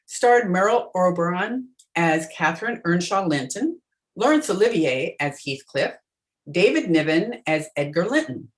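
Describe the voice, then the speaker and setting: A woman hosting, reading a scripted introduction to a radio drama. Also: lowish voice. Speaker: host.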